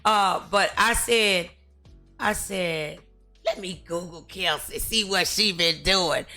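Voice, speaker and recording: Slow, bright-voiced woman talk show host. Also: on the low side, soft background music. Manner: effusive, encouraging. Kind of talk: casual celebrity interview.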